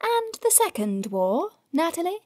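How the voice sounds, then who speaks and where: Pointed female narrator, high voice, challenging, audio drama, very dry sound. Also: very animated, unhurried, mellow, dry recording, exaggerated voice.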